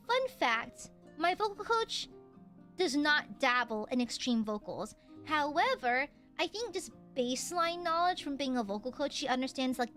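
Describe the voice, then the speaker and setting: A young woman talks casually on a stream, her high voice swooping widely. The tone is clear with a slight nasal edge, and she breaks into laughter often. Soft music runs faintly under her. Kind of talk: casual chat.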